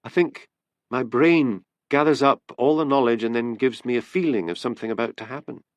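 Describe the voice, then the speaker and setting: A man voicing a thoughtful, perceptive young woman who speaks in a deliberate, musing manner in an audiobook story. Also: mid-range voice, no echo, lo-fi sound, natural voice.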